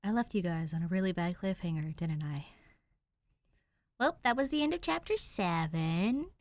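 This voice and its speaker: Woman fan fiction reader, expressive narration, excitable chat, dry sound. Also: on the low side, moderate pace, no echo. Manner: expressive, chatty and excitable when talking to listeners. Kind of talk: reading fiction aloud, then casual chat.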